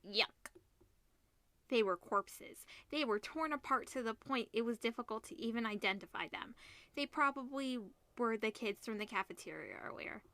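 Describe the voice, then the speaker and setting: A woman reading a visual novel aloud as a let's player, expressive, mixing narration with casual reactions. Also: fairly high pitch, moderate pace, dry recording. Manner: expressive, switches between narration and character lines.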